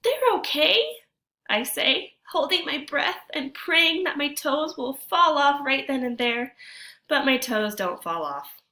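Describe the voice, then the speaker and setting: A woman teacher reading a storybook aloud to children, expressively, pausing to ask her young listeners guiding questions. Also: high voice, pushed and bright.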